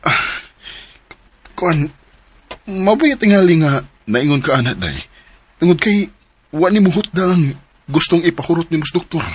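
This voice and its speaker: An actor playing a devoted, worried young man in love in a Philippine radio drama, earnest and halting, with a Cebuano Filipino accent. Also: fairly high pitch, expressive, a little hiss or hum. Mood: gently pleading.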